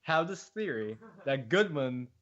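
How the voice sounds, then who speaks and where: A young man co-hosting in casual banter, excitable and repeating himself as he tells teasing anecdotes. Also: medium pitch, unhurried, dull audio, a little hiss or hum.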